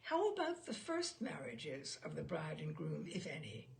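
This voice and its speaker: A woman voicing a courteous male questioner who methodically examines a witness in a humorous story, formal and measured. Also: deep voice, wildly swooping pitch, vocal fry, pitched below the performer's own voice, exaggerated voice. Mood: polite, inquiring. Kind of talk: humorous short story read aloud.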